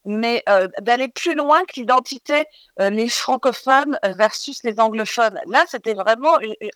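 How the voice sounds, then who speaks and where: A woman's voice: fairly high pitch, a bit bright, no room sound. A woman film director answering radio interview questions in a hesitant, thoughtful way, full of fillers and repeated words. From living in Toronto, Ontario.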